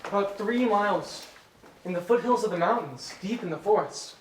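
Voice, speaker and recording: A man's voice: sits high, normal speed, close to an everyday voice. A man playing a timid, deferential young royal page in a staged musical reading, heard in a distant, roomy recording. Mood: deferential, informative. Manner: deferential, formal, hesitant to travel.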